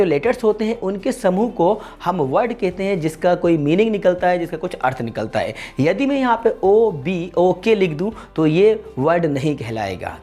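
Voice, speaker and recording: A man teaching a beginner English grammar lesson for an online channel, talking earnestly to viewers and repeating each point, and slowing down when he reads English definitions. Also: sits high, a little mellow. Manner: earnest and repetitive, restates points and keeps calling out to viewers.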